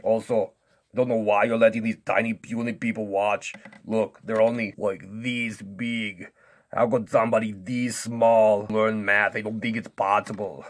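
Male actor as boastful, dim strongman for children's comedy lesson; lowish, husky, sulky and dismissive. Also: level tone, moderate pace, lightly acted. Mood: boastful, scornful. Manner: pompous, loud, sulky and dismissive. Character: vain, boastful, dim-witted strongman who belittles tiny kids. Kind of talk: comic kids' maths lesson skit.

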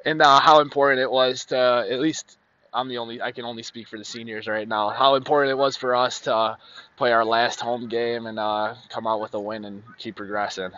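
A young man, a high school lacrosse player, giving an easygoing, grateful post-game interview outdoors on a field at night, holding a handheld mic. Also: steady tone, brisk, pushed and bright, no room sound, dull audio. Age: young adult. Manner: easygoing, grateful, laughs often.

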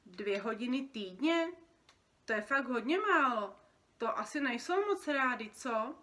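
A woman's voice: fairly high pitch, animated, a bit bright. A woman voicing a cheeky, curious, defiant young boy in a storybook, with an offhand manner. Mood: frank. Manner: offhand, questioning. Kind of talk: storybook character reading.

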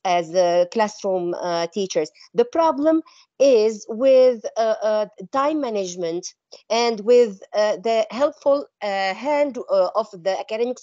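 Syrian woman on a dull lo-fi call, soft mellow voice, hesitant, lots of searching for words. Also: mid-range voice, no echo. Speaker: English teacher, attendee asking a question. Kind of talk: hesitant spoken question in a webinar. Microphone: online meeting call.

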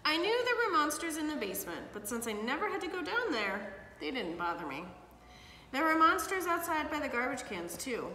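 Animated woman reading aloud in an echoey room. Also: sits high, fairly quick. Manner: expressive, animated storytelling. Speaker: children's storybook reader. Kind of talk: read-aloud of a picture book for children. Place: living room, indoors. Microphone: camera a few feet away. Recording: echoey hard-walled room, some background noise.

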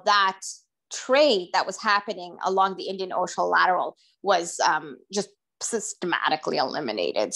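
A woman's voice: medium pitch, a bit bright. A middle-aged woman speaks over a video call from her kitchen, her voice sitting in an ordinary middle register. She talks loosely and conversationally, piling in fillers and restarting sentences as she explains her project. The sound comes from a camera mic a short distance away in a home kitchen. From Tanzania. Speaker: oral history researcher presenting her project. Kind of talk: informal presentation to a group.

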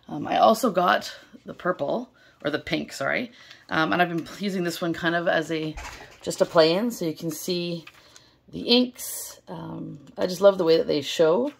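A young woman with a low, gravelly, hoarse voice, worn thin from losing it. She chats eagerly through an unboxing, full of ums and little asides, close to a camera over her table. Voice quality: gravelly, strained. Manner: chatty, many fillers, excited. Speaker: stationery vlogger. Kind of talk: casual unboxing vlog. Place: indoors at a table, filming overhead. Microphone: camera held close over the table.

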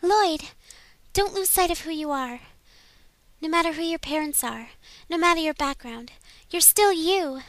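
Woman as a high, girlish anime heroine. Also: unhurried, no room sound. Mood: gentle, earnest. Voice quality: girlish, sweet. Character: gentle, earnest, sweet teenage girl heroine. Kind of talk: amateur anime game dub audition.

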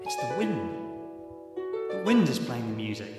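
A man's voice: mid-range voice, big pitch swings, measured pace. Echoing, irritable man acting a researcher underground. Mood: awed. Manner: exclamatory, swearing, dramatic. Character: male geology researcher, irritable, spiteful, foul-mouthed. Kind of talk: radio drama acting. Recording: echoey cavern reverb under loud music.

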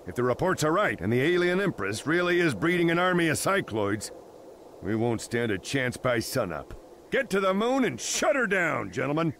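An actor playing a gruff, urgent military commander in a video game cutscene, with an emphatic, commanding delivery. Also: male voice, medium pitch, a bit bright, a little hiss or hum.

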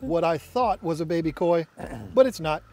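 High-pitched male vlogger, casual and playful, working outdoors, music behind. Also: animated, normal speed, a little mellow. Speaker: pond rescue vlog co-host. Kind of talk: casual chat while working. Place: outdoors at a garden pond.

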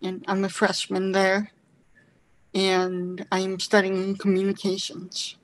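Young guy in his twenties, slow and flat, careful intro. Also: high-pitched, low-quality recording. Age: 20s. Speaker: panelist, college student. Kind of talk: introducing himself on a video call.

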